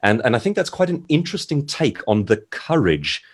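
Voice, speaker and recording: Male guest author, low slightly husky voice, very animated intonation, articulate and emphatic advice-giving over a video call. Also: a little fast. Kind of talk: seminar interview, giving advice.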